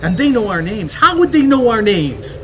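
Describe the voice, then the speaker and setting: A man's voice: sits high, very animated, normal speed. A man narrating and acting the lead of an audio drama, anxious and paranoid, often stammering. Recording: background noise.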